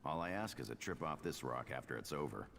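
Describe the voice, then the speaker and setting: A roguish thief in a video game, breezy and sure of himself. His gravelly voice sits very low and moves widely in pitch. It carries a long reverb tail. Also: lively intonation, brisk, fairly bright tone, exaggerated voice. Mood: cocky. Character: roguish male thief who was freed from captivity. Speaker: game character.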